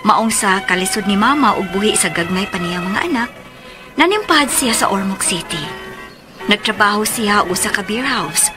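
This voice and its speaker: A woman's voice: mid-range voice, expressive, moderate pace, over music, close to an everyday voice. A woman playing a daughter who narrates her family's story in a radio drama, with a Cebuano Filipino accent. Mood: sorrowful, reflective.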